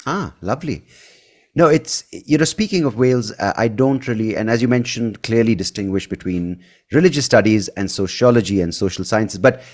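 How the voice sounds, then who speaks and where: A man's voice: on the low side, normal speed, a little mellow. A man hosting a podcast, talking casually and off the cuff in a rambling, hesitant way as he thinks aloud. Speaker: podcast host. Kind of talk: casual, unscripted podcast monologue and chat.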